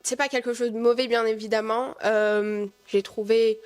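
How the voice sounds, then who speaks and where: A woman's voice: fairly high pitch, flat delivery, normal speed, a little mellow. An 18-year-old woman from France answering interview questions thoughtfully, with frequent hesitations. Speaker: guest.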